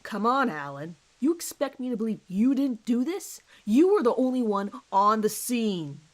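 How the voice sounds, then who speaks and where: A woman's voice: a little high, very animated, moderate pace. Horror drama interrogator: hard-nosed male detective voiced by a woman, blunt, pressing, sardonic. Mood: accusing, forceful. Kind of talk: audio horror drama reading.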